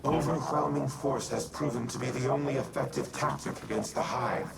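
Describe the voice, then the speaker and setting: A proud, gravelly war machine. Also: male voice, medium pitch, steady tone. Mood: insistent. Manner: formal, measured, pompous. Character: proud military artificial intelligence warmind, grandiose and unyielding. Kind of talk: video game voice acting. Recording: loud music behind.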